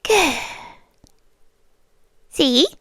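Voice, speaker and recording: A woman's voice: sits high, lively intonation, a bit bright, no echo, studio quality, exaggerated voice. A British woman playing a possessive yandere girlfriend in an intimate ASMR roleplay, speaking with coolly patient, condescending menace and dropping toward whispers. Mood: controlled, taunting. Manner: slow, deliberate, dropping into whispers, condescending sweetness.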